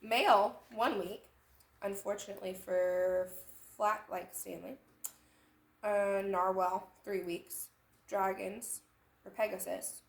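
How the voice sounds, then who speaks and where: A woman's voice: medium pitch, slow pace, vocal fry. A woman hosting a children's storytime, reading a picture book aloud expressively and adding little explanatory asides. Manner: animated, acting out lines and pointing out details. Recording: echoey room sound.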